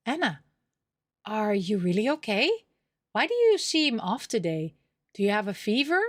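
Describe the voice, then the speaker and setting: A woman voicing a friendly, caring young maid in a visual novel, with a gentle manner. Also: medium pitch, big pitch swings, moderate pace, no echo, studio quality. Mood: concerned.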